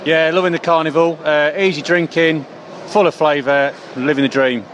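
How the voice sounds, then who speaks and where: A man's voice: on the high side, steady tone, moderate pace, a bit bright, slight background noise. A man guesting on a podcast clip outdoors at a beer festival, cheerful and hammy. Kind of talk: casual chat.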